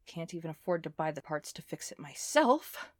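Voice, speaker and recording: A woman playing a scrappy, intense inventor recording a personal log in an audio drama, talking in a halting, volatile way. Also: sits low, very animated, dry recording. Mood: frustrated, wry. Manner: swearing, halting, swinging from muttering to fervent.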